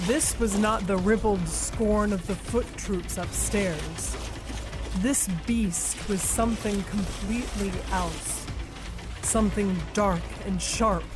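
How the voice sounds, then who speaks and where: Slow dramatic female narrator over music. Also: mid-range voice. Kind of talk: scripted audio drama narration.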